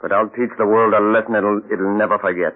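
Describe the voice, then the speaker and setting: An actor playing a cold, measured male mad scientist who threatens quietly, in an old radio drama heard as a tinny broadcast. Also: lowish voice, fairly even intonation, close to an everyday voice. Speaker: villain inventor. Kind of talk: 1940s radio acting.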